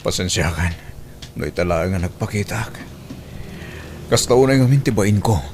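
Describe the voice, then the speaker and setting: Man acting a low-voiced, remorseful husband. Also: lively intonation, moderate pace, background noise. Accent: Ilocano. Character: remorseful husband pleading for forgiveness. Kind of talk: audio drama acting.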